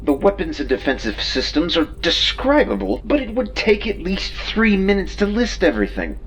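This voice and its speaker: A man's voice: high voice, wildly swooping pitch, moderate pace, slight background noise, exaggerated voice. A man voicing a self-assured ship's artificial intelligence in a sci-fi audio drama, precise and wordy with a dry wit. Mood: dryly proud. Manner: precise, wordy, dryly witty. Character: droll, self-assured male ship robot intelligence. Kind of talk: science fiction audio drama acting.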